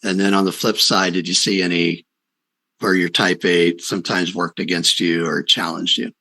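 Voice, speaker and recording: A man's voice: low-pitched, level tone, normal speed, bright, forceful tone. A man hosting a casual interview, affirming his guest and explaining his ideas as he thinks aloud. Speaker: podcast host, interviewer.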